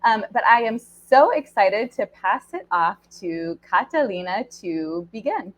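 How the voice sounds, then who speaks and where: A woman's voice: medium pitch, animated, measured pace, rough recording. A woman hosting and opening an online panel on a video call, upbeat and enthusiastic with some fillers. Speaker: program director, event host.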